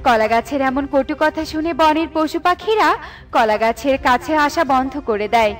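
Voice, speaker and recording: A woman's voice: sits high, normal speed. A woman giving scripted storybook narration for a cartoon fairy tale.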